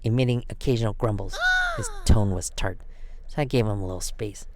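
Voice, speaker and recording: A man reading a children's picture book aloud as storyteller, expressive and given to dramatic pauses. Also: on the low side, background noise.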